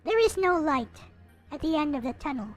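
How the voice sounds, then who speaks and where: A woman with a high-pitched voice reads a story aloud in a playful, theatrical way. Her intonation swings widely, and she slips into casual asides. Soft music plays behind her. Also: sing-song, normal speed. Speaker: host reading a visual novel aloud. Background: background music.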